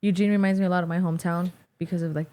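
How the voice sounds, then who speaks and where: A woman's voice: fairly low pitch, fairly even intonation, racing, warm tone. A young woman with a Mexican American accent, a podcast guest talking casually in a rambling way, full of "like". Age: young adult. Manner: rambling, heavy use of "like". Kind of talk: casual interview chat. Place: small studio set with wooden tables. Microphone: boom-mounted studio mic.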